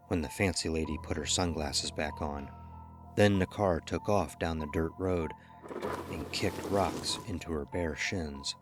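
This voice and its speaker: A man narrating a scripted horror story in a measured, ominous, dramatic storytelling manner. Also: sits low. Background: music under the voice.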